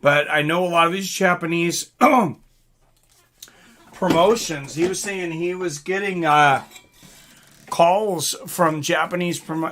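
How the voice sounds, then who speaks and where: A man with a fairly high, very bright and forceful voice hosts a casual live stream. He talks informally and loosely, drifting between viewer comments and his own replies, with some mild swearing. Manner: informal, mildly profane, rambling.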